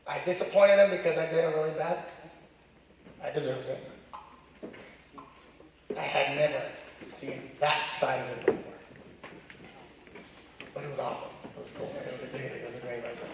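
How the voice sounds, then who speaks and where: A big-voiced, gravel-edged man telling stories at a memorial in a school gym, his words bouncing off the walls. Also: fairly even intonation, moderate pace, pauses often. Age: middle-aged. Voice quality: loud, booming. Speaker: high school wrestling coach giving a tribute. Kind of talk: informal memorial speech. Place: large echoey room at a high school. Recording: very echoey hall, distant, faint noise.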